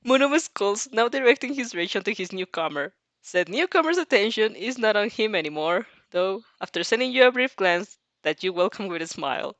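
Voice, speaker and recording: Lively woman narrating fan fiction. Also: mid-range voice, a little fast, hardly any pauses, dry recording. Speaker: fan fiction reader and reactor. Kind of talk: expressive story narration with casual asides.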